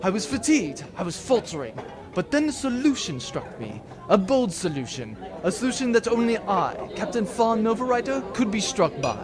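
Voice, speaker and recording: A man's voice: high voice, sing-song, moderate pace, music in the background, a crowd in the background. A man playing a boastful, swashbuckling space captain in a comic audio drama, pompous, theatrical and very pleased with himself. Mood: boastful, dramatic. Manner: pompous, theatrical, self-satisfied.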